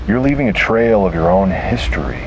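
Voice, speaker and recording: A man's voice: on the low side, expressive, brisk, background noise, lightly acted. A man voicing an obsessive male slasher in a horror story, intimate and threatening as he stalks his prey. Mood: quietly menacing.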